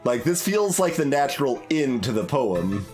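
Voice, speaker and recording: A man's voice: medium pitch, very animated, moderate pace. A man giving casual playthrough commentary, thinking aloud in a hesitant, rambling, analytical way. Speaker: game streamer. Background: background game music.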